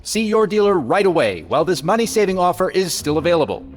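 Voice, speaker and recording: A man's voice: high-pitched, very animated, fast. A man playing a polished, upbeat 1940s radio announcer delivering an emphatic sales read. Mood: upbeat selling.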